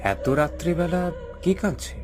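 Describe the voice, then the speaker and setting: A man's voice: fairly high pitch, unhurried, over music, natural voice. A man voicing an uneasy young city boy visiting a village, in a cartoon. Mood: puzzled, annoyed. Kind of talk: cartoon voice acting.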